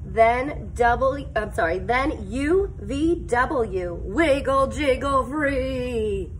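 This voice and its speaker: Female elementary teacher, higher-pitched and unhurried, expressive read-aloud for little kids, prompting them to join in. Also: slight background noise. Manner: expressive, deliberate, sing-song, prompts listeners to join in. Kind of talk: animated picture-book read-aloud for young children. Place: school classroom, indoors.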